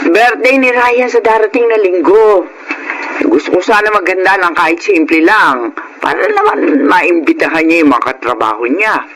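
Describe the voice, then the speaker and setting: An actress playing a demanding, meddlesome elderly mother-in-law in a radio drama, nagging and quick to take offense. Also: on the low side, lively intonation, fairly bright tone, a little hiss or hum, poor audio. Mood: wheedling. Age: older. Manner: nagging, indignant.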